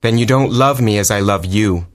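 An actor playing an impatient young suitor who presses and persuades in a dramatized audiobook. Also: male voice, on the low side, normal speed, natural voice. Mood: reproachful, insistent. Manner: urgent, demanding, persuasive.

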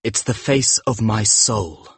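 A man voicing a vain young gentleman in an audiobook story, speaking in a hushed, cold and bitter manner. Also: on the low side, lively intonation, slow pace, breathy edge, dry recording, pitched above the performer's own voice, full character voice. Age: young adult. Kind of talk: dramatised audiobook character voice.

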